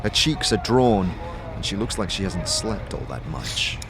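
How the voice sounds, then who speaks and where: A man's voice: lowish voice, animated, measured pace, creaky. A man narrating a fantasy audio drama in a scripted, storytelling style. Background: music.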